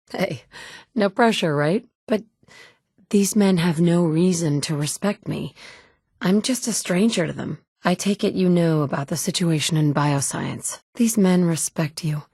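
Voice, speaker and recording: A steady wasteland survivor. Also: female voice, fairly low pitch, slightly rough, no echo. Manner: direct, firm. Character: capable adult woman survivor, steady and direct. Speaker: player character. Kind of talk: video game dialogue. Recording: dry studio recording.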